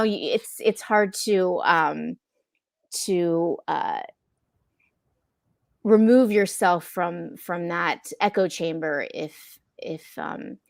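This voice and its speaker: A woman's voice: lowish voice, creaky, dry recording, clean studio sound. A middle-aged woman in a casual, reflective panel discussion over a video call, often checking in with "right?". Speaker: film impact producer on a panel. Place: room at home, video call. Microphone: wired earphones on a video call.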